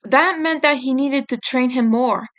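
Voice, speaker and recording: A blunt teenage turtle brother from a story, voiced by a woman, pitched high. He is guilt-ridden and awkward with feelings, and he speaks in unhurried lines. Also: a bit bright. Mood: earnest.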